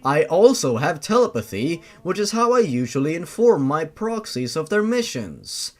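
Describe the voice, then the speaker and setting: A man voicing a calm, fatherly telepathic monster who speaks in a formal, gentle, courteous way for an audio story. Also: on the high side, normal speed. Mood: matter-of-fact.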